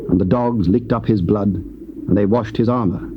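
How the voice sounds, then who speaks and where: Man, stately scripture narration, measured, dull tape hiss. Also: fairly low pitch.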